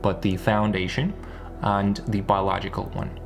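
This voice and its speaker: Low-pitched man explaining ideas casually. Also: flat delivery, normal speed, gravelly, faint music behind. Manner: emphatic and repetitive, often seeking agreement with tag questions. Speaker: YouTube business and spirituality coach.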